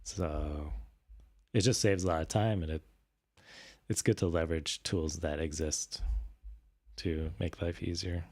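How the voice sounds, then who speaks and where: A man with a low voice chats casually as a livestream host. He sounds a little raspy and worn from being sick, and he thinks aloud in a hesitant, halting way. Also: fairly even intonation, a little mellow. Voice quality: slightly raspy. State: voice tired after recent illness. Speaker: streamer host.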